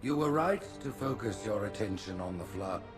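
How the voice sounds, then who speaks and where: Man as a stately, low-voiced alien prophet. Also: lively intonation, loud music behind the voice, natural voice. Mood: cold, deliberate. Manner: slow, grandiose, formal. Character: stately alien high prophet, coldly judging religious leader.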